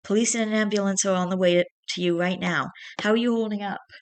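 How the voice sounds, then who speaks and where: Woman acting a steady, urgent emergency operator. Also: mid-range voice, fast talker, natural voice.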